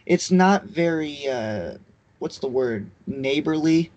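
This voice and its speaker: A man's voice: on the high side, expressive, slow pace, a little mellow, dull audio. A man co-hosting a remote podcast in casual chat, laid-back and swearing often. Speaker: podcast co-host. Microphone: remote call mic.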